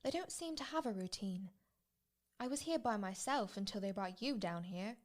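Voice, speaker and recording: A woman's voice: mid-range voice, animated, normal speed, a little hiss or hum, lightly acted. A woman playing a dainty, weary captive in a tabletop role-play game. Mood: unsure.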